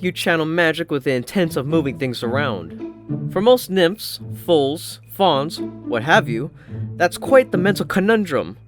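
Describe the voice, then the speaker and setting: A man reading scripted first-person fiction as an audiobook narrator. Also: a little high. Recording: narration over prominent music.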